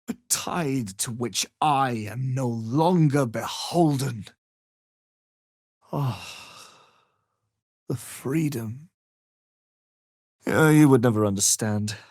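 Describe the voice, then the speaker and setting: An actor playing an arrogant, power-hungry wizard villain in a fantasy video game, with a haughty, theatrical, condescending manner. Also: male voice, medium pitch, dry recording, clean studio sound. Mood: gloating.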